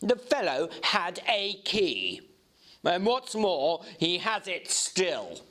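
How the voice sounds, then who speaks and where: A man voicing an earnest young gentleman in a Victorian storybook, emphatic and halting as he searches for words. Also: sits high, huge pitch swings, voice pushed up, heavily acted. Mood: sullen, defensive. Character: earnest young English gentleman, bewildered, slightly sullen, recounting strange sights. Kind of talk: dramatic reading of a Victorian novel.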